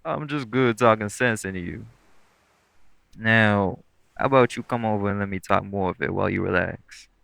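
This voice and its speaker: Man playing a low, gravelly, sweet boyfriend. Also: normal speed. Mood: gently firm. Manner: laid-back, coaxing, slangy. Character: sweet, caring, teasing lightning elemental boyfriend. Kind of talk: intimate boyfriend audio roleplay, casual speech.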